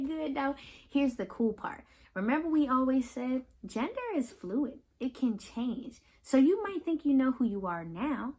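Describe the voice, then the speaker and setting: An American woman hosting a children's read-aloud, speaking directly and reassuringly to young listeners and repeating phrases expressively for emphasis. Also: high voice. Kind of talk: picture book read-aloud with talk to children.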